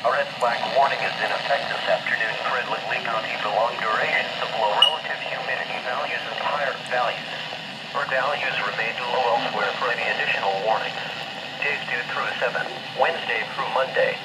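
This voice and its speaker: A computerized man's voice reads a scripted marine weather forecast as a radio announcer, in a monotone, clipped recitation. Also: mid-range voice, a little fast. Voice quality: synthetic computerized voice. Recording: very noisy static-filled weather radio broadcast.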